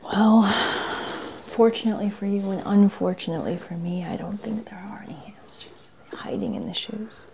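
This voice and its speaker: A soft-spoken woman in an ASMR role-play as a patdown agent, giving polite step-by-step instructions and often slipping into whispers. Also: medium pitch, normal speed, vocal fry.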